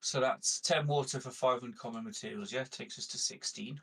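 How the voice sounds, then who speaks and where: A man with a husky, slightly rough voice of medium pitch discusses a tabletop game. He talks casually and keeps checking with the other players. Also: a little fast, fairly bright tone.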